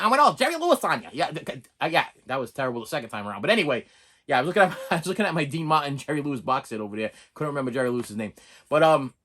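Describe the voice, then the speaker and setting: A man's voice: high-pitched, fairly bright tone. A middle-aged man with a Boston accent, talking to camera in a rambling, chatty review monologue, often repeating and restarting words and laughing. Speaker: movie review vlogger.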